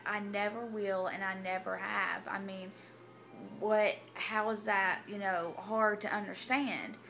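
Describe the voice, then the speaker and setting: A woman, telling her personal story to camera in a halting, hesitant way with many restarts. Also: medium pitch, creaky, pushed and bright. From Tennessee. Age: 20s. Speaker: vlogger. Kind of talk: personal confessional vlog.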